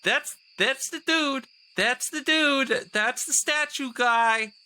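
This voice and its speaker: Man playing a brash, high, sharp-voiced frantic adventurer. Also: slow pace, heavily acted. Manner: blurting, repetitive, urgent.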